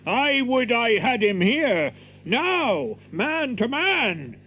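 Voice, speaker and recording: An actor playing a boastful, hot-tempered stout tinker in an audio drama, blustering in archaic English with a gravelly, husky voice. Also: male voice, very high-pitched, sing-song, bright, forceful tone, heavily acted. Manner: blustering, exclamatory, chuckling, drawn-out delivery.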